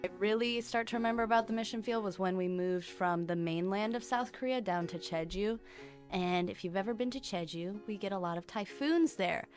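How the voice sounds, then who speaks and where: A woman in her twenties tells stories as a guest on a podcast, relaxed and easy. Her voice sits at a middle pitch. Faint music plays in the background. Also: brisk. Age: 20s. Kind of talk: casual interview storytelling.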